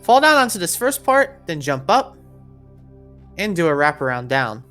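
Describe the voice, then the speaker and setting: A woman's voice: fairly low pitch, sing-song, normal speed, fairly bright tone, faint music behind. A woman narrating an instructional video game walkthrough in a step-by-step, directive way, as a guide for players. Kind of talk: instructional gameplay walkthrough voice-over.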